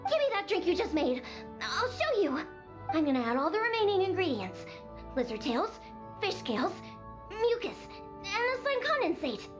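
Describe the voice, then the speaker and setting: Anime game cat-girl child, very high and swoopy, bratty, stubborn, game music underneath. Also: sing-song, normal speed. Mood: frantic, exasperated. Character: young cat-girl bartender, tsundere, exasperated and stubborn.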